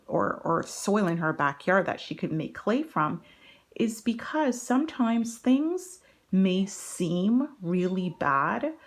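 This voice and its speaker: A woman reading a children's story aloud as host, speaking directly to young listeners in an instructive, encouraging way. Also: lowish voice. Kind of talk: children's story read-aloud with teacherly asides.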